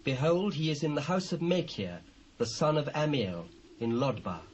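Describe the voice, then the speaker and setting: Actor as humble British servant, deferential. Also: male voice, a little high, lively intonation, moderate pace, natural voice. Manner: deferential, formal. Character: Ziba, humble male servant of a royal house. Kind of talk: dramatised scripture dialogue. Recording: faintly noisy old cassette transfer.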